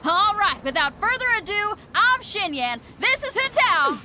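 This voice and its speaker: A woman's voice: very high voice, sing-song, a little slow, very noisy, full character voice. A woman voicing a spirited young female rock performer in a video game. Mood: excited, proud.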